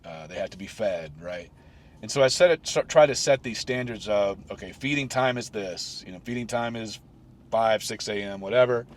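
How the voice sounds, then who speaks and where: A man's voice: lowish voice, moderate pace, a little hiss or hum. A man in his late forties talking alone on his own podcast, rambling with many restarts and fillers. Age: 40s. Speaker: podcast host. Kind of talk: solo reflective podcast talk.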